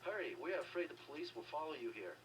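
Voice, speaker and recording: An actor playing a wary, loyal, hurried Hong Kong triad member in a video game, on a noisy distant capture. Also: male voice, on the high side, lively intonation, close to an everyday voice. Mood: guarded, urgent.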